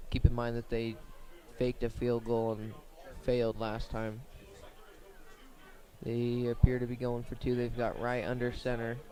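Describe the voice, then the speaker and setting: A man doing live radio play-by-play of a high school football game in short, plain, measured phrases. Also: darkish tone. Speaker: play-by-play sports commentator.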